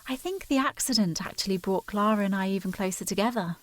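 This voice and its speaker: Actress as earnest young gentlewoman, close dry sound. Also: medium pitch, fast talker, no room sound, close to an everyday voice, low-quality recording. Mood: wistful, confiding. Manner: formal, precise, insistent. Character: earnest, determined young gentlewoman worried for missing sister. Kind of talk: period audio drama acting.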